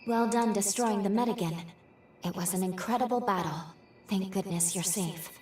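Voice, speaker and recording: Game guide, played by a woman: mid-pitched, measured, gentle and earnest, roomy echo, faint noise. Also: natural voice. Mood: relieved. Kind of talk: video game cutscene acting. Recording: distant, echoing, faint noise.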